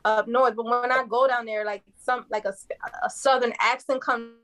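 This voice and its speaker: A chatty woman born in the Dominican Republic, whose first language is Spanish. Her voice sits a little high, and she laughs easily as she tells family stories in a relaxed conversation. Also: moderate pace. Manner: chatty, laughs often while telling stories. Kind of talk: casual family chat.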